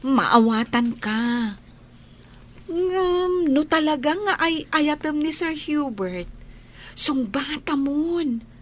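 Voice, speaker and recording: A woman's voice: sits high, animated, very slow, heavily acted. An actress playing a cheerful, teasing young woman in a radio drama, playful and encouraging to her friend.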